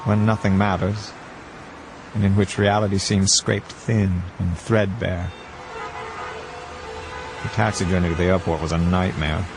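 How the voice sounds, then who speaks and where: A man playing a weary, brooding first-person narrator, telling a story in a measured, reflective way. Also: low-pitched, steady tone. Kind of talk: scripted story narration, hardboiled. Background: music.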